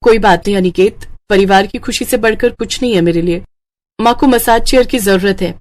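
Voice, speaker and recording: A woman plays a self-sacrificing young doctor in a cartoon moral tale. Her voice sits at a middling pitch, with an earnest, reassuring and gentle manner. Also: fairly quick, natural voice. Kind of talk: Hindi cartoon moral story acting.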